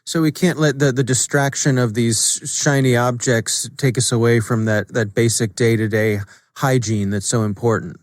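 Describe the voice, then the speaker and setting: A man's voice: medium pitch, steady tone, normal speed, dry recording. Male podcast interviewer, dry close sound. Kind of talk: conversational interview questioning.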